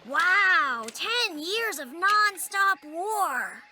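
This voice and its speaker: Very high, cheeky cartoon boy. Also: big pitch swings, very slow, pushed and bright, a little hiss or hum, exaggerated voice. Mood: curious, boastful. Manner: flippant, boastful interjections. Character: cheeky young cartoon boy, eager and impatient. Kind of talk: animated children's series dubbing. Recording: music behind the voice.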